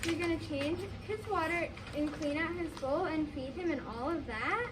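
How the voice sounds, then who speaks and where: A young female reader acts a fussy, matter-of-fact mother for readers theater. The voice is strikingly high. It is picked up from a distance amid clear background noise. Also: moderate pace, natural voice. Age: child reader, fourth grade. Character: fussy mother who dislikes turtle smell.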